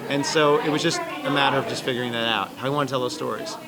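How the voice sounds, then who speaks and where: A male guest talks quickly and animatedly about his writing work. His voice sits at mid pitch. Loud crowd noise fills the outdoor space around him. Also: fairly even intonation, rough recording. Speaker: guest writer. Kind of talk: casual interview.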